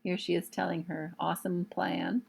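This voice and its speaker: A woman reading a children's novel aloud in expressive storytelling narration. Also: lowish voice, moderate pace, gravelly, slightly rough. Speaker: storybook reader.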